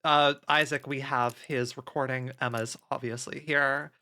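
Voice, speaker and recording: A man's voice: medium pitch, fairly even intonation, moderate pace, bright, forceful tone. A man chatting casually on stream, thinking aloud in a rambling way and swearing casually. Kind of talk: casual chat while playing a game on stream.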